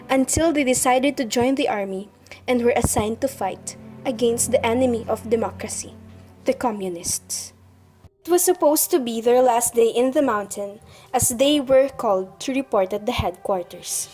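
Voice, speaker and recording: Girl narrator for a radio play, kinda high voice, reading script, faint music behind. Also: darkish tone, poor audio. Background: soundtrack music.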